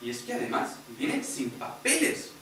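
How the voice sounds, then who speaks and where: A man's voice: moderate pace, sharp tone, slight background noise, close to an everyday voice. A man playing a fearmongering gossip in an amateur skit, piling up ever wilder predictions. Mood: alarmist. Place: indoor workshop room. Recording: echoey room, distant mic.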